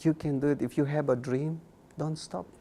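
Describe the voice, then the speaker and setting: A man's voice: a little high, fairly even intonation, normal speed, warm tone, slight background noise. A young man, a Mayan speaker talking earnestly as an interview guest, repeating words for emphasis. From Guatemala. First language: K'iche' Mayan. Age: young adult.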